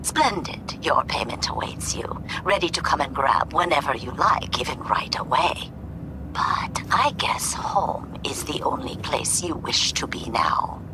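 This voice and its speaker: A very low, hushed female voice for an older crime fixer in a video game. She is slow, breathy and formal, coolly courteous in every phrase. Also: big pitch swings, in a whisper, exaggerated voice, poor audio. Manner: formal, measured, silky politeness. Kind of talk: cinematic video game acting.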